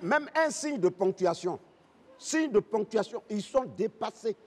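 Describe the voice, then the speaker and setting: A man's voice: sits high, expressive, unhurried. A man with a West African French accent, a comedian playing an indignant parliamentarian who mocks the young with emphatic, repeated exclamations in stage banter. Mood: mock indignation. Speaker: comedian on a satirical parliament show. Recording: echoey hall, audience noise behind.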